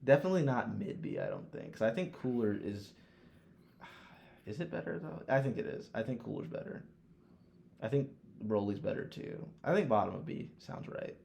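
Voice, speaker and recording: A man talking casually as a podcast host, blunt and quick to swear as he gives his opinions. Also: on the low side, wildly swooping pitch, creaky, a little mellow, slight background noise. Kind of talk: casual chat, ranking films.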